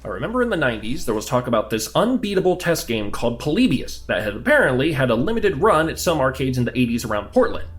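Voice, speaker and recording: A man's voice: mid-range voice, very animated, quick pace, few pauses, soft background music, close to an everyday voice. A man playing a curious, talkative new government agent in an audio drama, conversational, questioning and easily flustered. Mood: earnest, questioning.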